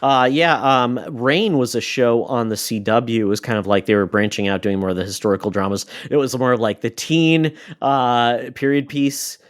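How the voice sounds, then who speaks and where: A man's voice: medium pitch, moderate pace, few pauses, no echo, clean studio sound. A man co-hosting a podcast, chatting casually and hesitating often with fillers. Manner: hesitant, lots of uhs. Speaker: podcast co-host. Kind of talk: casual chat.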